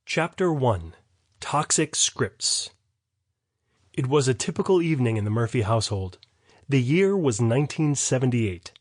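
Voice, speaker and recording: A man's voice: medium pitch, lively intonation, measured pace, no echo, clean studio sound. A man reading scripted narration as the author of an audiobook.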